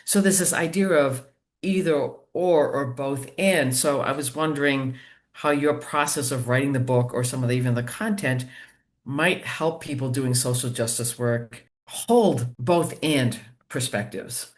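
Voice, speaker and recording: A man interviewing an author, asking long, earnest questions with many pauses and restarts. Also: medium pitch.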